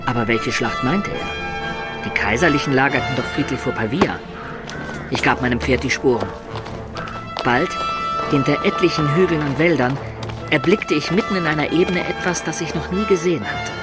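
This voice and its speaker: Man as an adventurer narrating over loud music. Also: a little high, normal speed, long pauses, lightly acted. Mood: vivid, puzzled. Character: male adventurer recounting his own past exploits. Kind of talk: scripted first-person radio play narration.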